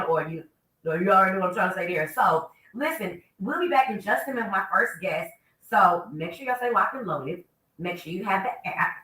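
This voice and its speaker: A woman's voice: medium pitch, reverberant, far from the mic, poor audio. A woman hosting a morning radio show, chatty and upbeat. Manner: upbeat, chatty, lots of shout-outs. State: stuffy from a cold. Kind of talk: casual morning radio talk.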